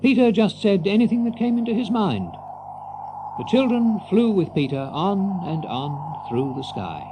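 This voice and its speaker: Lively, higher-pitched male storybook narrator. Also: a little slow, noisy background.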